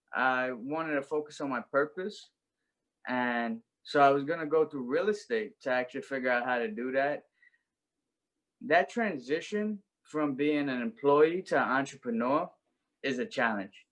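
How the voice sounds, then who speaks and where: A man's voice: fairly high pitch, moderate pace, a bit bright. Earnest young New man. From Brooklyn, New York. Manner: earnest, reflective storytelling. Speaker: guest, life coach. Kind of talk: personal story in an interview.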